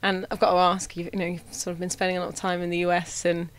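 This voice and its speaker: Chatty woman interviewer, lowish voice. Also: completely flat delivery, fast talker. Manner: chatty, friendly questioning with short backchannels. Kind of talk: casual interview questions.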